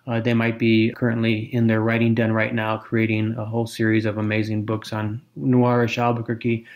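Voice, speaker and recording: A man's voice: mid-range voice, a little mellow. A man with a mid-range voice co-hosts a podcast. He explains his points fluently in a conversational tone, dropping in the occasional filler. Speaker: podcast co-host.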